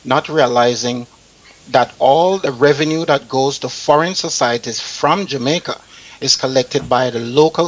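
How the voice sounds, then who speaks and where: Male talk show host, middle pitch. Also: fairly bright tone, poor audio. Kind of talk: reading an article aloud, panel chat.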